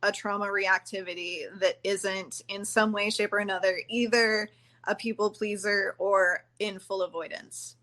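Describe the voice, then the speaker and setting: A woman's voice: mid-range voice, fairly even intonation, measured pace, a bit bright. A woman hosting a podcast, a trauma practitioner explaining her views in an earnest, explanatory way. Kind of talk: conversational podcast interview.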